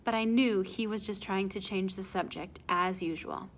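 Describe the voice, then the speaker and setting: A woman reading a children's chapter book aloud in an animated storytelling style. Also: mid-range voice, normal speed.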